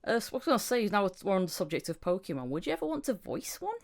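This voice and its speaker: A woman's voice: mid-range voice, sing-song, racing, vocal fry, darkish tone. A woman hosting a casual podcast conversation, chatty and prone to repeating phrases for emphasis. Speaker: podcast host, voice actor.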